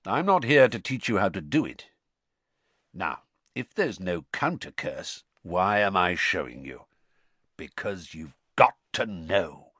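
A man's voice: low-pitched, sing-song, a little slow, a bit bright, slightly rough, no room sound, heavily acted. A man voicing a stern, intense old battle-scarred professor with a growl and an emphatic lecturing manner in an audiobook.

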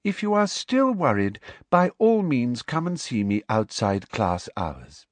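A man's voice: medium pitch, very animated, measured pace, no echo, pitched above the performer's own voice. A man voicing a smug, sickly-sweet middle-aged female teacher from a storybook, with a honeyed trill and a precise, dismissive manner. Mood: triumphant, falsely sweet. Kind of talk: audiobook character voice.

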